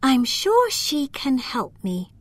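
A woman voicing a brave tiny elf girl in a storybook, bright and excitable. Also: high voice, slow, dry recording, voice pushed up, heavily acted. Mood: spirited.

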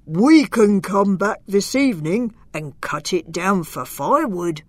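Woman playing slow, mocking storybook giants. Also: medium pitch, expressive, no room sound. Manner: slow and teasing. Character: two big mocking male giants.

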